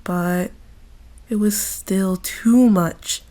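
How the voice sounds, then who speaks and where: A woman voicing a grown former knight who mentors a younger friend, gentle and reflective, in a fan audio drama. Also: mid-range voice, drawn-out words. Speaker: voice actor.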